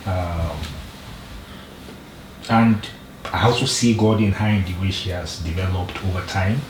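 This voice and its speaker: A middle-aged man with a Nigerian accent, sharing slowly and haltingly with many restarts in a group talk. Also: sits low, steady tone. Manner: slow, halting, with repeated restarts. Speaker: group member, father. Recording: roomy, distant mic, faint noise.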